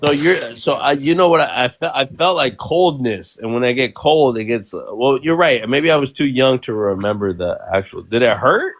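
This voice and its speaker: A man's voice: mid-range voice, animated, moderate pace, hardly any pauses, no room sound. A man in his thirties co-hosting a casual talk show, speaking frankly and loosely, with lots of profanity and "you feel me". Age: 30s. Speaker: podcast co-host. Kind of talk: casual chat.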